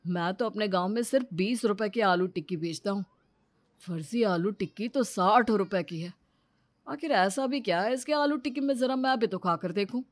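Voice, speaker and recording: A woman's voice: medium pitch, moderate pace, dry recording, close to an everyday voice. Woman acting a chatty, scheming village snack seller. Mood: amazed, grumbling. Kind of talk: acted children's moral story.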